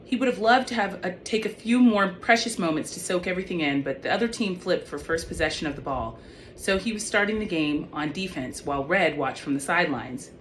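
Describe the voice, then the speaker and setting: Low-pitched woman reading, noisy recording. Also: brisk. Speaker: author reading own book. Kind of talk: audiobook-style reading of young adult fiction. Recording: clearly noisy background.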